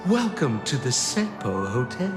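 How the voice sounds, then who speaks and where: An actor playing a smug, slippery swindler in a game, with showy, theatrical, unctuous delivery and heavy reverb. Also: male voice, medium pitch, sing-song. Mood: smug, welcoming. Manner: showy, unctuous, drawn-out flourishes. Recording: echoey long reverb, music behind. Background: music.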